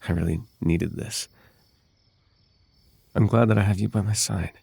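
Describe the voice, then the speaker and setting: A man voicing a possessive fae royal in an ASMR roleplay, courtly and coaxing with soft menace under a silky, creaky voice. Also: sits low, fairly even intonation, measured pace, no echo, exaggerated voice. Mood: tender, coaxing. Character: possessive, silky male fae royal wooing a captive lover. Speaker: voice actor.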